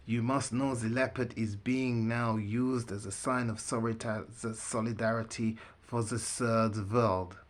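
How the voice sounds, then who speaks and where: A man's voice: lowish voice, fairly even intonation, a little slow, few pauses, close to an everyday voice. A man voicing an urgent, commanding German prophet in a storybook, with a clipped, formal German accent. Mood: urgent, stern.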